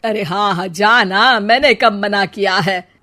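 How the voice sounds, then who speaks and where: A woman voicing a sharp-tongued older mother-in-law in a cartoon story, loud and emphatic. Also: medium pitch, a little slow. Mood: brisk.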